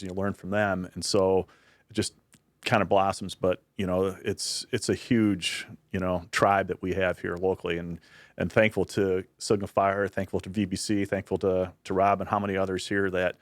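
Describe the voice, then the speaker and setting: A man's voice: low voice, level tone, normal speed, dry recording. A man speaking as a guest on a podcast, explaining things earnestly with frequent restarts. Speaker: university director of military affairs, guest. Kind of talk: casual podcast conversation.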